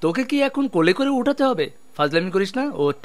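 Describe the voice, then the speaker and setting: A man voicing an excitable, teasing young man in a cartoon, animated and nagging. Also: sits high, fast. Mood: impatient. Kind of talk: cartoon voice acting.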